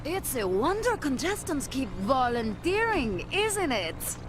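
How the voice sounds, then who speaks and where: A woman's voice: high-pitched, sing-song, takes it slowly, background noise, full character voice. An actress playing a perky, catty female sports co-anchor in a game cutscene, chirping out snide remarks. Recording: loud music behind.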